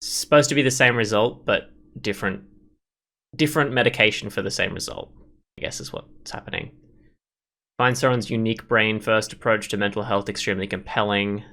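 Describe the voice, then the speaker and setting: A man hosts a visual novel playthrough in a fairly low, bright voice. He reads the text aloud and drifts into rambling, opinionated commentary. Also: steady tone, brisk. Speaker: gameplay video host.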